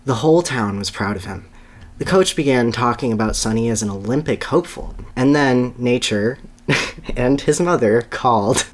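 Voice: medium pitch, lively intonation, moderate pace. A podcast co-host giving an amused dramatic reading of a children's story, breaking into asides.

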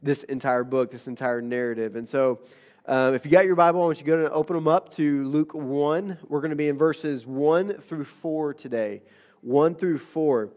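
A man preaching to his congregation in a nasal voice, still getting over an illness. His voice sits a little high for a man, and he talks in a loose, conversational way, with plenty of "uh"s and restarts. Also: steady tone, normal speed, a little mellow. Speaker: pastor. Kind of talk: sermon.

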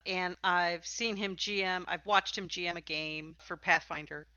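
Woman podcast host chatting games, bright punchy voice, says what she thinks, bit muffled recording. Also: on the low side, steady tone, normal speed, no echo. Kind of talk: conversational interview about tabletop games.

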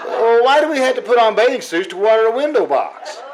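An actor playing a shy, earnest, oblivious bachelor science teacher, hesitant and prim, in an old-time radio comedy. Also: male voice, extremely high pitch, very animated, brisk, crowd noise, background noise. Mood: bashful, literal.

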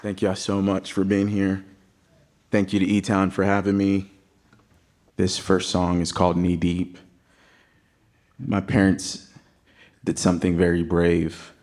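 A man's voice: low voice, monotone, measured pace, pauses often, darkish tone. A young man from Greece, raised in Texas, introducing his song on a concert stage with a reflective, personal story. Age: young adult. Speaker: singer-songwriter performing live. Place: live concert hall stage.

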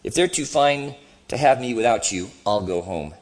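A man's voice: mid-range voice, animated, normal speed, pitched above the performer's own voice, lightly acted, low-quality recording. A man voicing a sulky, belligerent ghost of a working-class boss in a storybook reading, blustering and self-righteous. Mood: bitter, defiant.

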